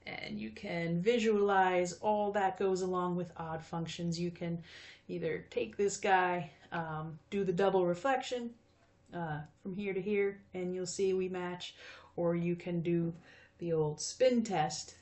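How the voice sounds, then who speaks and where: A woman's voice: on the low side, steady tone, measured pace, darkish tone. Chatty woman in her fifties teaching math. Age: 50s. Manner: friendly, chatty, with frequent fillers. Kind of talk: recorded video lesson.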